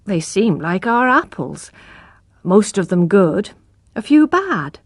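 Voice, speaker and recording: A woman voicing a gentle, worried young country girl who answers softly. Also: medium pitch, sing-song, takes it slowly, dry recording, clean studio sound, full character voice. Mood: subdued. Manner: soft, subdued replies.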